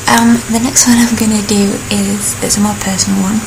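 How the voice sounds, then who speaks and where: A woman's voice: medium pitch, little pitch movement, brisk, noisy background. A young woman from South Yorkshire with a posh Yorkshire accent, chatting casually through a Q&A vlog with plenty of ums and dry self-mocking asides. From South Yorkshire, England. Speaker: vlogger.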